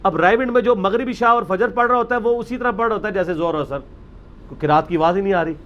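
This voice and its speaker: A man's voice: high voice, very fast, a bit bright, lo-fi sound. A man giving a religious talk, arguing his point with rhetorical questions and emphasis. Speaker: religious speaker. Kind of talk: lecture on religious practice.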